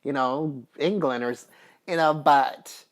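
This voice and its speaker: A woman's voice: rumbling low, takes it slowly. A woman vlogger talking casually and at length, chatty and repetitive with many fillers, and emphatic when she makes a point. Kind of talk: casual personal talk to camera.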